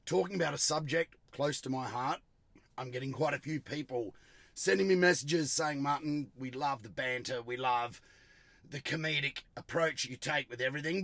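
Australian male podcast host, middle-aged, gravelly with a sore throat; punchy bright tone, blunt and salty, very dry recording. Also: mid-range voice, no echo. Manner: blunt, emphatic, salty-tongued. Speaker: host of an automotive tuning show. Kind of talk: casual solo podcast talk.

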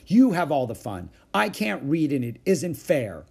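A stubborn, sulky little girl from a storybook, acted out by a man, pitched up with lively swings. She drags out her words defiantly and sounds slightly rough-edged. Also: full character voice. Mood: angry, wailing. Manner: defiant, drawn-out emphatic words.

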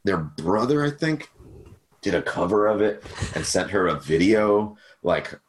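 Young guy, very low voice, loose self-mocking chatter full of ums, on a video call. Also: animated, a little slow, poor audio. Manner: rambling, self-deprecating, many fillers. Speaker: podcast guest, cover singer. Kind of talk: casual chat over video call.

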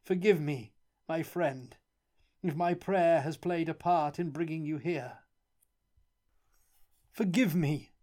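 A man voicing a miserable, guilt-ridden prisoner with a hoarse, tearful, pleading voice. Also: medium pitch, measured pace, darkish tone, pitched above the performer's own voice, lightly acted.

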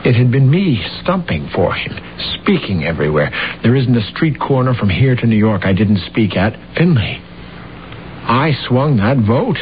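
A man's voice: mid-range voice, very animated, normal speed, lightly acted. Man acting a grandiose, aggrieved assassin, noisy old recording. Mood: grandiose, insistent. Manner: self-important, bitter, insistent. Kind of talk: old-time radio drama acting. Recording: muffled, noisy old radio drama recording.

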